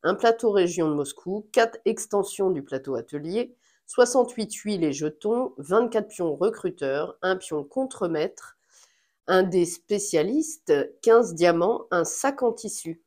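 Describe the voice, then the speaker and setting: Slow-talking woman with a cold. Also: lowish voice. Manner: slow, relaxed, thinking aloud with fillers. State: has a cold. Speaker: board game reviewer. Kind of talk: casual unboxing chat.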